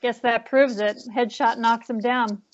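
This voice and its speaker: A woman's voice: fairly high pitch, steady tone, a little slow, highs cut off, background noise, close to an everyday voice. A woman playing a tough, wry squad member in a horror film table read. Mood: dry.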